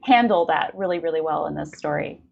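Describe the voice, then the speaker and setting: A woman's voice: low-pitched, brisk, creaky, muffled recording. A woman interviewing in a casual literary conversation, speaking in an effusive, affirming way. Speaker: podcast interviewer.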